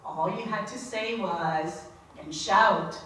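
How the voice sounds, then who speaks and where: Woman reading a memoir out loud at a signing, slow and careful, fixes her slips, heard from far off in a very echoey room w faint noise. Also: medium pitch. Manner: unhurried reading, correcting her own slips. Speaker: reader at a book signing. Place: book signing event room.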